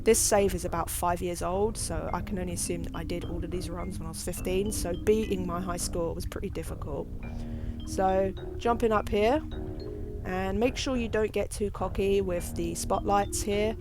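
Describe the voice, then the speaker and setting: A young woman hosting a casual gameplay tutorial, chatty and rambling and poking fun at her own play. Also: lowish voice. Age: young adult. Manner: chatty and rambling, self-deprecating. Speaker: gaming video host. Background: background music.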